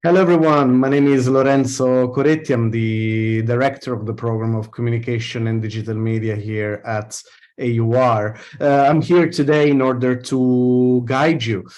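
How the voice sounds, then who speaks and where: A man's voice: mid-range voice, steady tone, normal speed, darkish tone. Polite professor, man. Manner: polite, formal, slightly non-native phrasing. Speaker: professor, program director.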